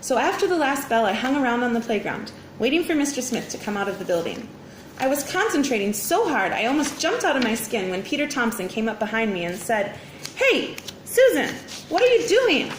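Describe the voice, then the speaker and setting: Animated, high-pitched woman reading a story. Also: brisk. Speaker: teacher reading aloud. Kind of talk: expressive children's story read-aloud. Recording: roomy echo, faint background noise.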